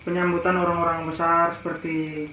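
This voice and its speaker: A man's voice: fairly high pitch, monotone, a little fast, pushed and bright. A man from East Nusa Tenggara with an eastern Indonesian accent, answering questions as an interview guest. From Malaka, East Nusa Tenggara, Indonesia. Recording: very echoey, muffled, faint noise.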